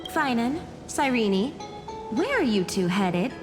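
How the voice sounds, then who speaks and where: A woman's voice: fairly high pitch, big pitch swings, slow pace. A woman playing a kindly, devoted village schoolteacher in a fantasy video game. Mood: kindly, gentle. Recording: clear music behind.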